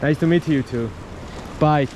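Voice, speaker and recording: A man's voice: fairly high pitch, a little slow. A young man with a Georgian accent, a travel vlogger chatting and laughing in broken English, then narrating. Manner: laughs often, animated, friendly banter in broken English. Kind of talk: casual chat and travel narration. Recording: loud sea and boat noise behind.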